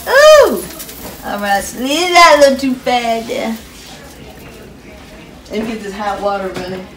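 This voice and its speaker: A woman's voice: fairly high pitch, sing-song, a little slow, very noisy. A middle-aged woman, chatting homey to viewers as she cooks dinner in her home kitchen. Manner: chatty, homey, talks to viewers while cooking. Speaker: home cooking vlogger. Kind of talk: casual cook-along narration. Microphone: phone camera held while cooking. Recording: echoey kitchen with pot and dish clatter.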